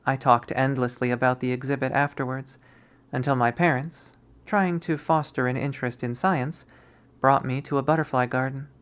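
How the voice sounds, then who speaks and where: A reader voicing an obsessive, possessive young woman who collects insects, narrating in a measured, wistful, coldly sadistic way for a visual novel. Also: medium pitch, steady tone, clear, resonant tone, natural voice. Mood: bitter, wistful. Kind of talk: dramatic visual novel readthrough.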